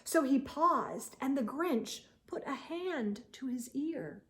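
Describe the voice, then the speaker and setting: A woman with a high-pitched voice hosts a children's storytime. She reads rhyming verse at a slow pace, leaning into the rhythm. Key words get dramatic, expressive emphasis. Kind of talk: expressive read-aloud of a rhyming picture book.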